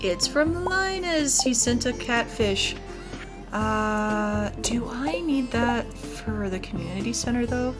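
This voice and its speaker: A woman's voice: on the high side, lively intonation, slow. A woman giving casual let's play commentary as a gaming video host, talking through what she is doing as she goes. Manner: narrates own actions aloud. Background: game music.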